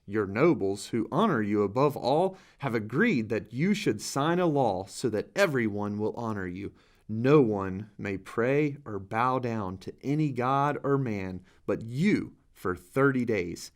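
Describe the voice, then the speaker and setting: Guy doing a sneaky young palace advisor, flattering and plotting, bible storybook villain. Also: medium pitch. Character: scheming, flattering young male royal officials.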